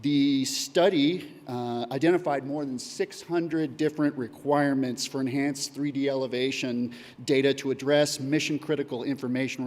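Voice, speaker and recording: A man's voice: mid-range voice, darkish tone. Male presenter in a reverberant hall. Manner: reads steadily with occasional uh fillers. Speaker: data acquisition coordinator. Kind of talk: prepared conference presentation. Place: conference hall.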